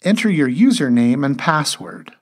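Husky male tutorial narrator, lively intonation. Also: on the high side, normal speed. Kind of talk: scripted instructional narration.

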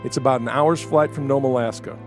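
An actor playing an enthusiastic, persuasive middle-aged American missionary musician in a family audio drama. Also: male voice, medium pitch, steady tone, a little fast, over music, natural voice. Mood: earnest.

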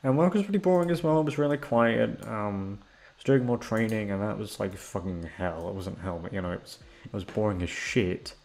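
A young man rambling in a casual chat, swearing often and jumping into high-pitched exclamations. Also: brisk, vocal fry, darkish tone. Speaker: audio roleplay creator.

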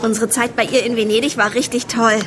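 A woman's voice: fairly high pitch, little pitch movement, a little fast, crowd noise, close to an everyday voice. An actress playing a sweet, enthusiastic teenage girl detective in a German children's audio drama, eager and girlish. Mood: chatty.

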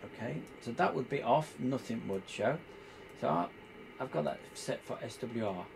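A man giving an informal hands-on tutorial, explaining step by step in a halting, hesitant way. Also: medium pitch, animated, gravelly, noisy background. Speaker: presenter demonstrating radio equipment.

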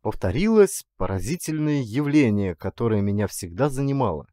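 A man narrating a literary story as an actor would, in an expressive, dramatic storytelling manner. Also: medium pitch, normal speed, no echo, studio quality.

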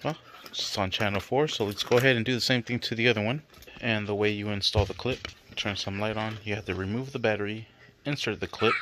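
A man's voice: fairly low pitch, a little fast. A man, a dad, casually narrating an unboxing review in a laid-back, plain-spoken way in a bedroom. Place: bedroom, indoors. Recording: noisy handheld home recording.

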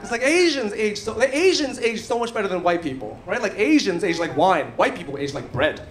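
Male comic, thirties, bright animated delivery with a high, swinging pitch, live stage recording. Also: fairly quick. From Canada. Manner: animated, punchy delivery with dry asides. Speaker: stand-up comedian. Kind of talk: stand-up set. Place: theatre stage before a live audience. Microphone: handheld stage mic. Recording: live club recording with audience noise. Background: audience laughter.

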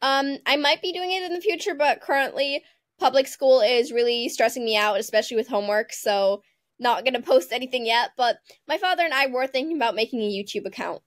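A woman's voice: high-pitched, fairly quick, dry recording, studio quality. A teenage girl with a mixed international English accent, chatting as a guest over a video call and laughing often. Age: teens. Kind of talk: casual interview. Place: home room.

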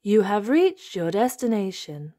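A woman voicing a polite sat-nav machine from a storybook, announcing directions in a measured, deliberate way. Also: mid-range voice, big pitch swings, dark tone.

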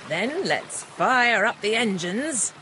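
Lively high-pitched otter sea captain. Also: female voice, takes it slowly, noisy background. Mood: jolly, hearty. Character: cheerful welcoming female otter ship captain. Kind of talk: children's cartoon game acting.

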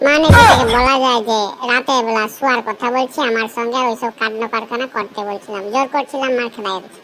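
A woman's voice: fairly high pitch, quick pace, very noisy, lightly acted. A woman voicing a hapless, lecherous young married man in comic cartoon dialogue, talking in coarse slang. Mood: exasperated.

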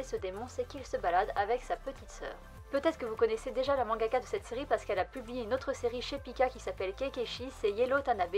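A young woman hosting a casual manga vlog from a room lined with bookshelves, talking in an upbeat, chatty way with frequent fillers. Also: a little high. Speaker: manga vlogger.

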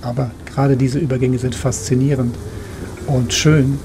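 A man's voice: mid-range voice, little pitch movement, moderate pace, background noise. A middle-aged man narrating a sailing trip as its skipper, in a style that moves between scripted storytelling and spontaneous recollection. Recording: music behind the voice. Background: music.

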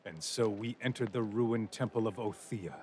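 A man giving grave, measured scripted narration for a fantasy game. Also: on the low side, dark tone, slight background noise.

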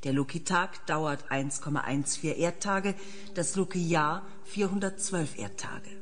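A female planetary scientist in an audio drama. Her voice is fairly low. She lectures precisely, with open fascination for her subject. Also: fairly even intonation, a little slow, slight background noise. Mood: factual, interested. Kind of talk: German audio drama acting.

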